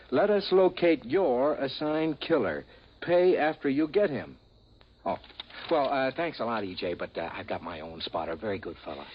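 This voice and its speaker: An actor playing an eager, keyed-up ad man in a 1950s radio drama, speaking briskly and earnestly. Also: mid-range voice, slight background noise. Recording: muffled old radio broadcast recording.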